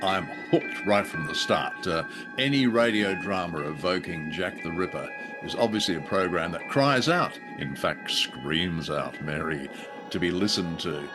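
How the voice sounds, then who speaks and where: A man's voice: deep voice, animated, unhurried, music in the background. A man co-hosting a show with scripted introductory banter. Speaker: co-host.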